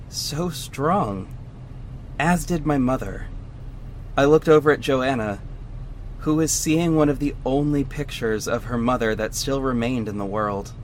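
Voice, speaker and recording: A man's voice: medium pitch, slight background noise, lightly acted, low-quality recording. A man playing an earnest young hero who narrates his own story in a conversational, scripted audio drama style. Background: music.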